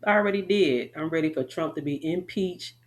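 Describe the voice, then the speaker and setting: A woman's voice: fairly low pitch, brisk. Frank, rambling Southern woman. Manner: rambling, frank, laughs often. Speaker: army veteran guest. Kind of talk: candid interview chat.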